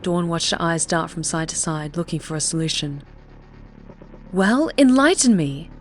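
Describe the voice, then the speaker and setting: A woman's voice: low-pitched, little pitch movement, fairly quick, fairly bright tone. A woman narrator reading a scripted story in a dramatic audiobook style. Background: faint music.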